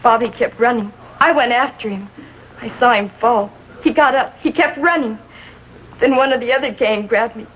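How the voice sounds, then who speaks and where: An American woman playing a distraught, lovestruck sixteen-year-old girl in a 1950s TV drama, speaking in short, halting sentences. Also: on the high side, fairly bright tone, lightly acted. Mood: shaken recounting. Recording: faintly noisy vintage TV soundtrack.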